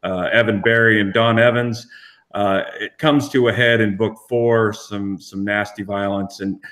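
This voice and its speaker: A man speaking as a podcast guest, chatting informally and a little haltingly about his own work. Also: on the low side, steady tone, fairly bright tone.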